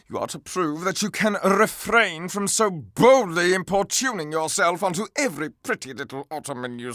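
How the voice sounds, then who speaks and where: A man's voice: high voice, pushed and bright, dry recording, clean studio sound, full character voice. A man voicing a flamboyant, pompous courtly dandy, a living candelabra in a video game, with florid, theatrical, grandiloquent delivery.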